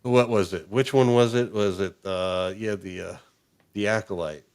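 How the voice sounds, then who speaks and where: A man hosting a podcast, chatting and interviewing in an informal, halting, agreeable manner. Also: fairly low pitch, fairly even intonation, a little mellow, smooth. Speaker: podcast host. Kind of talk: casual, conversational interviewing.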